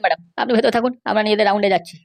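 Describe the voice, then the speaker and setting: Cartoon comedy part: chatty, crafty jail constable voiced by a woman, mid-range voice, extremely quick insistent patter. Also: mid-range voice, gravelly. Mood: mocking, wheedling. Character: sly male cartoon schemer and bribe-seeking jail constable. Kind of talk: amateur cartoon comedy dubbing.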